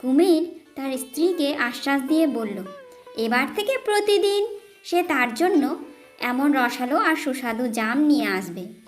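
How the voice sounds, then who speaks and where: A woman storyteller reading a scripted narration of a children's tale. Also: sits high. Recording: music behind the voice.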